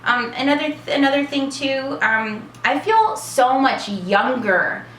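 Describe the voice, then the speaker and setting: A woman's voice: high voice, animated, a little slow, fairly bright tone. Bubbly, chatty woman in her twenties. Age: 20s. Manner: chatty and bubbly, many fillers. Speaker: vlogger. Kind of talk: casual Q&A vlog. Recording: echoey room with faint hiss.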